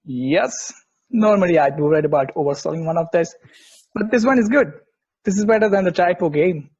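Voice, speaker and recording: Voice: mid-range voice, animated, fairly quick, a little mellow, slightly rough, lo-fi sound. A performer playing an enthusiastic, snarky sports podcast co-host in a casual table read. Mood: keen.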